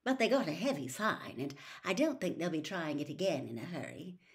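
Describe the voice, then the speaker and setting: A woman's voice: on the low side, sing-song, fairly quick. Woman reading as a genial, matter-of-fact wizard dad. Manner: matter-of-fact, chatty explaining. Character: genial middle-aged wizard father, eager, matter-of-fact explainer.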